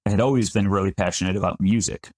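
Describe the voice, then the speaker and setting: A man in his thirties from upstate New York talks as a podcast guest in a very low voice. He is chatty and self-deprecating, often restarting his sentences. The sound is dry and close, with no room echo. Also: fairly even intonation, fast talker. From Finger Lakes region of New York. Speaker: winemaker guest. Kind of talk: conversational podcast interview.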